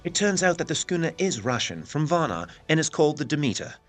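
A man playing a grave newspaper reporter in an audio drama, narrating in a formal, measured, solemn manner. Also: fairly high pitch, very animated, faint music behind. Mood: formal, intrigued.

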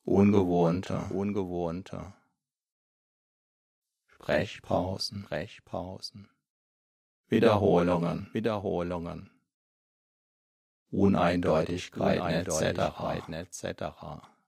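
A man guiding a self-confidence hypnosis session, speaking slowly and softly with long pauses and repeated words. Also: sits low, fairly even intonation, vocal fry, slightly rough, no room sound, clean studio sound.

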